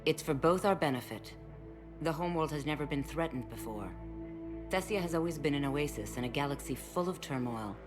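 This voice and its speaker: A guarded alien diplomat for a game, really low voice, formal, music behind her. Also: animated, brisk. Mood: grave, formal. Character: dignified, guarded female alien stateswoman. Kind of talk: video game dialogue.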